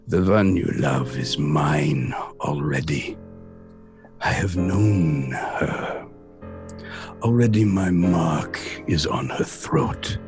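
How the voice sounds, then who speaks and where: Vampire count, very deep, drawn-out speech, menacing, gloating, horror radio play, music behind. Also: male voice, full character voice. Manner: slow, deliberate, taunting delivery. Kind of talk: theatrical horror audio drama.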